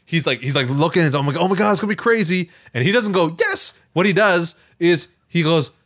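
Animated man with a higher voice. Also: brisk. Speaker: podcast co-host. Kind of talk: casual podcast chat.

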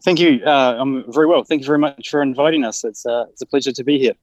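A man's voice: medium pitch, fast talker, no room sound, highs cut off. Man, New Zealand accent, developer guest, polite, hesitant, dry sound. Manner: polite, hesitant, many fillers. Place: Japan, remote call.

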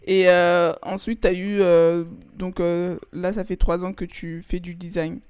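A woman's voice: lowish voice, little pitch movement, unhurried, a little mellow, clean tone. A young woman, a student, hosting a podcast interview with friendly, encouraging reactions. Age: young adult, student. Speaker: podcast host.

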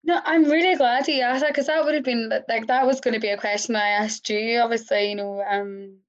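A woman's voice: fairly high pitch, steady tone, fairly quick, lo-fi sound. A woman with an Irish accent, a researcher answering interview questions reflectively. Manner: reflective, thinks aloud with many fillers. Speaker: researcher guest. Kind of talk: conversational interview.

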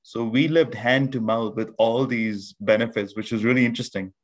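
A man from Pakistan in his thirties, a podcast guest telling his life story in a measured way with many restarts. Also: mid-range voice, steady tone. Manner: measured, with frequent restarts and fillers. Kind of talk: reflective storytelling interview.

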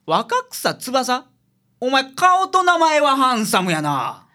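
A man playing a gruff, teasing detective boss with a Kansai accent in a radio drama. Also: very high-pitched, wildly swooping pitch, takes it slowly, bright, forceful tone, heavily acted.

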